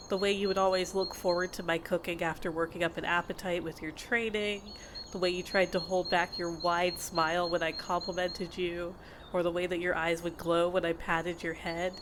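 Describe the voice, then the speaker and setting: A woman playing a possessive, condescending fantasy healer in an ASMR audio roleplay, with a measured, intimate, teasing and reproachful delivery. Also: on the low side, noisy background, close to an everyday voice. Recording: insect and bird ambience behind voice. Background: outdoor nature ambience with crickets and birds.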